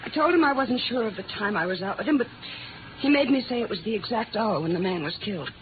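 A woman's voice: a little high, animated, fast, close to an everyday voice. An American actress playing a frightened, fragile young woman with hesitant speech, in 1950s radio drama style. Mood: bitter, subdued. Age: young adult. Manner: hesitant, breaking off and repeating herself. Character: frightened, lonesome young female club worker, haunted and fragile. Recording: tinny, noisy old radio recording.

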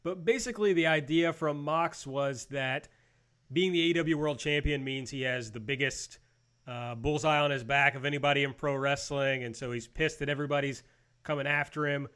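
A man's voice: mid-range voice, sharp tone, smooth. A man hosting a casual panel show, recapping and giving blunt opinions. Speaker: host of a wrestling review show.

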